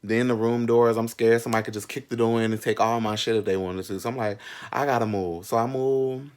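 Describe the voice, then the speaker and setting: A man's voice: mid-range voice, fairly even intonation, brisk. A man in his thirties telling a personal story in a casual, sassy, slangy and candid way, with frequent asides. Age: 30s. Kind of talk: casual, animated storytime chat.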